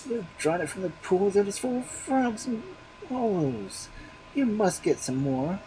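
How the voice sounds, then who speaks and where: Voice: medium pitch, very animated, normal speed, a little hiss or hum, close to an everyday voice, rough recording. A storyteller voicing a fussy husband who complains about the water he is given, in a raised voice. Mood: disgusted.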